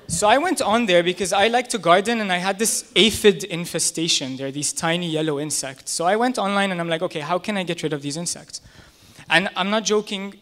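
A man's voice: sits high, a bit bright. A man in his thirties doing a stand-up set on a small club stage, talking into a handheld mic in a conversational, self-deprecating way. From United States. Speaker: stand-up comedian. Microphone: handheld stage microphone.

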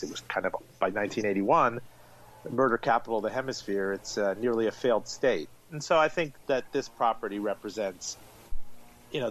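A man's voice: fairly low pitch, low-quality recording. A man in his forties, an author and journalist who grew up in Miami, telling his story fluently and with energy as an interview guest. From grew up in Miami, born in Iran. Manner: fluent, animated storytelling with restarts.

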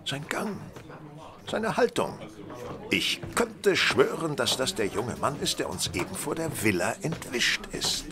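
Commanding, observant detective for audio drama. Articulate, formal, mildly condescending, with a widely varied pitch. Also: male voice, huge pitch swings, measured pace, sharp tone, full character voice. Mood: wry, observant. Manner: articulate, formal, wryly superior. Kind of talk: radio drama acting.

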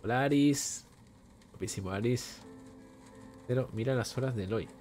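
A man's voice: mid-range voice, moderate pace. Chatty young streamer greeting his viewers. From Venezuela. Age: young adult. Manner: chatty, warm greetings to viewers, reads chat aloud. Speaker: gaming streamer. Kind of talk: live gameplay stream, answering chat. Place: home room at a desk. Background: faint game music.

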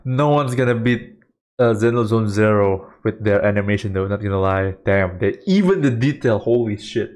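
A man's voice: on the low side, normal speed, darkish tone. A man giving casual live reaction commentary, exclaiming and repeating his phrases. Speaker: reaction video host. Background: game trailer music.

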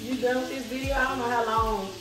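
A woman's voice: a little high, fairly even intonation, quick pace, background noise. A middle-aged woman chatting casually in a folksy, colloquial way, as host of a family vlog. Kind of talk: casual kitchen vlog chat. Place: home kitchen. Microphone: camera on tripod at a distance. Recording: roomy echo, distant mic, background music.

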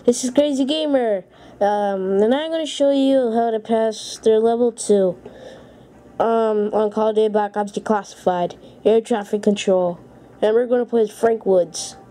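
A woman's voice: a little high, fairly even intonation, normal speed, dark tone. A woman giving a casual, plainly worded game walkthrough as host of a gameplay video. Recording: noisy camera recording of handheld game. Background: handheld game audio.

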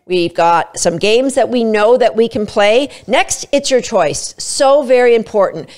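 Woman in her 50s, dog trainer, talks chatty and emphatic into a studio mic, voice a bit on the high side. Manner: chatty, emphatic, brief quoted asides. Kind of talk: casual instructional talk to camera. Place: small studio room indoors. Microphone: studio mic in front of her.

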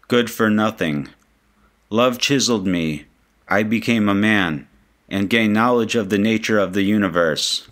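A man reading translated poetry aloud like an audiobook, in a solemn, measured manner. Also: on the low side, fairly bright tone. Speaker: reader.

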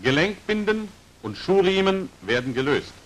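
A male narrator with a fairly high, animated voice delivers scripted 1940s instructional film commentary. His is formal and precisely articulated, with pitch that moves widely from phrase to phrase. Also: normal speed, low-quality recording. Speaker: narrator of a military training film.

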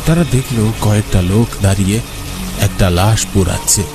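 A man's voice: fairly low pitch, normal speed. A man reading a scripted horror story as its narrator, with music behind him. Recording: loud music under the voice. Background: loud background music.

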